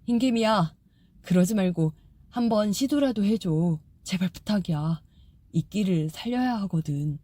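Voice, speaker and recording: A woman voicing a gentle old chimney who pleads softly and thanks warmly in a storybook audiobook. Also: medium pitch, expressive, a little slow, dry recording. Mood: pleading.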